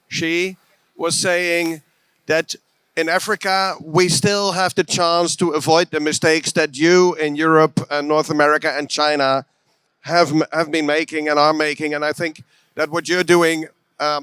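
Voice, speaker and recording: Brief: male moderator, smooth clear voice, halting phrasing, dry sound. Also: on the high side, steady tone, fairly bright tone, no room sound. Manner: halting, repeats words. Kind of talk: conference moderation.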